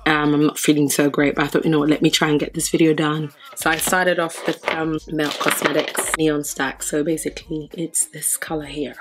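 Hoarse, chatty British woman vlogging. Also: low-pitched, little pitch movement, normal speed, hardly any pauses. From Nigeria. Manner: chatty, breezy, thinking aloud while working. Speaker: makeup vlogger. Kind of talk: casual makeup tutorial chat. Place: sitting room in front of a sequin backdrop.

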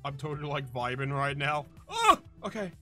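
A video game streamer giving excitable commentary, yelling and cursing at the game. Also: medium pitch, moderate pace, soft background music. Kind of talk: excitable gameplay commentary with swearing.

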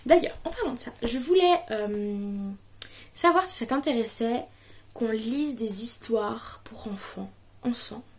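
A young woman in her twenties recording a casual diction tutorial at home, chatting and reading children's book blurbs aloud with lively intonation. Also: a little high, a little slow. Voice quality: muffled, as if nasal, from a pen held in her mouth. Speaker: vlogger. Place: small living room at home, indoors.

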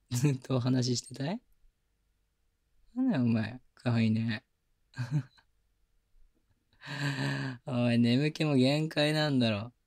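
A man playing a gentle, doting young boyfriend in an ASMR bedtime audio drama, speaking softly and breathily, soothing and coaxing, close to the mic. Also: medium pitch, drawn-out words, gravelly, long pauses, dry recording, heavily acted. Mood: tender, teasing. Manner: soft, soothing, tender, coaxing, often dropping to a whisper. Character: gentle doting young boyfriend lulling his sleepy partner. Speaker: voice actor. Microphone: close binaural microphone.

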